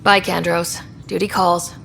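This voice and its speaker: Steady space hero, reverberant. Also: female voice, fairly low pitch, measured pace, gravelly, exaggerated voice. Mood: resolute. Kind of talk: video game dialogue. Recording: long reverb with background noise.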